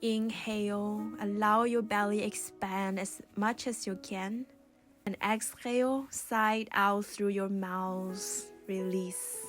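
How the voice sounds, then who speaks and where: Slow, even-toned woman guiding yoga over music. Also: mid-range voice. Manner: soothing, gentle, unhurried guiding with pauses. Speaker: yoga instructor. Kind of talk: guided yoga and meditation instruction.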